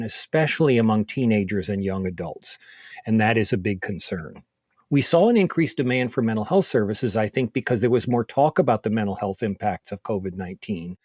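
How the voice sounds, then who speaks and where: A man with a fairly low voice answers as an expert guest. He explains things in a measured, methodical way, recorded close and dry with no room sound. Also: darkish tone, no room sound. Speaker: expert guest, psychiatrist and researcher.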